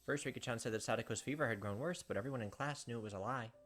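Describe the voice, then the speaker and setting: A man with a medium-pitched voice hosts a visual novel let's play. He moves between casual commentary and reading narration aloud. Soft music plays faintly behind him. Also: rapid-fire.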